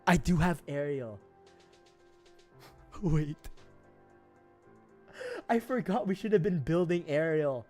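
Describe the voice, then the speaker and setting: A man's voice: on the high side, lively intonation, mellow. Giggly Filipino-accented man streaming. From Philippines. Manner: rambling, giggly, quick asides. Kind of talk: casual livestream chat. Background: faint music.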